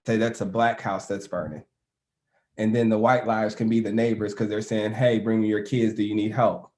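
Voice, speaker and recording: A man panelist explaining his point in a measured way, through an extended example. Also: lowish voice, a little mellow. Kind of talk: panel discussion.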